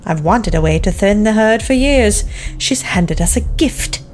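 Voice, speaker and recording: A woman playing a serene, sanctimonious vampire matriarch in an audio drama, speaking in measured, solemn, maternal tones. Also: mid-range voice, animated, soft background music, natural voice. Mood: cold, scheming. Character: serene, sanctimonious vampire matriarch ruler addressing her flock. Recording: long hall reverb, faint noise.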